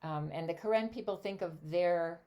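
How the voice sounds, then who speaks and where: A woman's voice: on the low side, normal speed, warm tone. An older woman born in Switzerland, a native German speaker, chatting as a guest songleader in a casual interview, rambling with many asides. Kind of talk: casual video chat interview.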